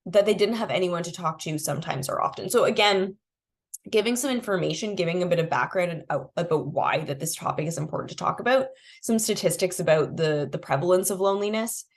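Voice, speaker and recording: A woman's voice: on the low side, quick pace. A young adult woman, a bit congested and sniffly, walking viewers through a resource toolkit in a casual, chatty way with many fillers. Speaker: programs manager presenting a resource toolkit. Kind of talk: casual screen-share walkthrough.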